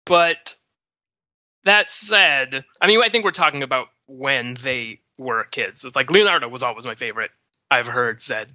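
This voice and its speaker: A man in a casual panel discussion, thinking aloud with hesitant pauses as he gives his opinions. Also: mid-range voice, expressive, bright, forceful tone, no echo. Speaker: podcast panelist. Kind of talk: casual pop-culture discussion. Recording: dry but dull lo-fi audio.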